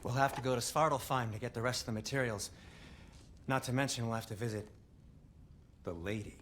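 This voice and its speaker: A man playing a nervous, fussy dwarf craftsman in a video game, fretful and excitable. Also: mid-range voice, a little fast, noisy background, lightly acted. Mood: reluctant. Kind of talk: cinematic video game acting.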